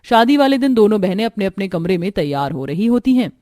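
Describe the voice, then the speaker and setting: A woman's voice: medium pitch, very animated, a little fast, dark tone, no echo, studio quality. A woman narrator reading a scripted family story for a cartoon.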